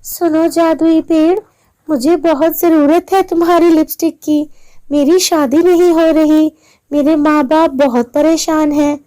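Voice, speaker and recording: A woman's voice: high-pitched, steady tone, a little slow, mellow, voice pushed up. A woman voicing an earnest, brave young village girl in a children's story, speaking emphatically. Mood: pleading.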